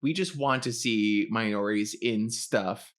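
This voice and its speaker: A young man co-hosting a podcast in casual chat, laughing easily and explaining in a relaxed way. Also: fairly low pitch, unhurried. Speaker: podcast co-host.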